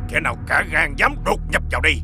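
An actor playing a furious, menacing bandit chief in an animated fairy tale, barking orders. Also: male voice, on the high side, fast. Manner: shouting, commanding. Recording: music behind the voice.

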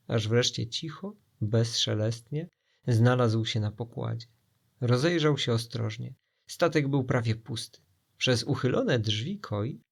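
A male storyteller with a medium-pitched voice and plenty of gravelly fry. He narrates expressively for children, building suspense with dramatic pauses.

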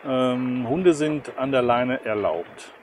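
A man's voice: mid-range voice, slow, a little mellow. A man in his fifties chatting casually to camera outdoors in short, jokey, colloquial remarks, recorded at arm's length. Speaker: travel vlogger. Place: outdoors in gardens and town streets. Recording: outdoor ambient noise.

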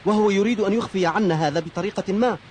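Skeptical teenage kung fu pupil, rapid delivery, played by a man. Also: high-pitched, darkish tone, a little hiss or hum, lightly acted. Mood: scheming. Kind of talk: Arabic cartoon dub.

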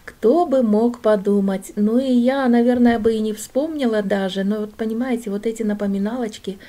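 A middle-aged woman from Russia, chatting as a vlogger while she walks through shops, often speaking softly, almost in a whisper. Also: fairly high pitch, normal speed. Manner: soft, often near-whispered commentary while browsing. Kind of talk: chatty walking vlog narration.

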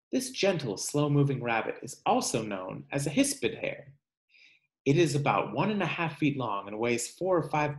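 A man in his thirties, a teacher reading a picture book aloud to children in measured, clear phrases at home. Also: medium pitch. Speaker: teacher reading aloud to third-grade class. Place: room at home.

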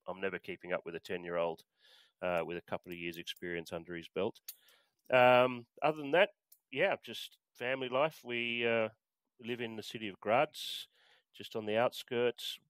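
A man's voice: fairly low pitch, a bit bright, smooth, no echo. A middle-aged Australian man chatting as a podcast guest, hesitant with plenty of fillers and restarts. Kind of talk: casual interview chat.

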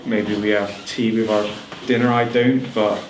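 A man's voice: lowish voice, flat delivery, normal speed, echoey room, background noise. A man in a street interview answering in an unsure, offhand way.

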